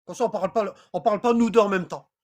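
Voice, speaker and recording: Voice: mid-range voice, fast talker. A religious teacher running an informal online class, often repeating phrases and asking listeners if they follow. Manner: repetitive, rambling, checks for understanding; reads aloud from text, then comments.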